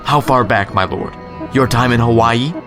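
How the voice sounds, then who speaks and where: A man's voice: medium pitch, normal speed, music in the background, close to an everyday voice. Audio drama: solemn male attendant, played by a man, deferential, mid-range voice, formal phrasing. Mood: dutiful.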